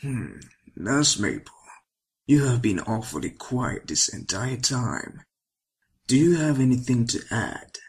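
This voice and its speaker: A man playing a polite, probing visitor in a horror audio drama, deliberate and formal with a husky voice. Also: medium pitch, sing-song, exaggerated voice. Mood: curious, probing.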